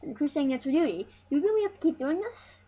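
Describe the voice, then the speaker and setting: A high-voiced speaker giving casual let's play commentary as host of a retro gaming series, rambling and often restarting phrases. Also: very animated, very fast, mellow. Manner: rambling, repeats and corrects phrases. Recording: muffled, noisy home recording.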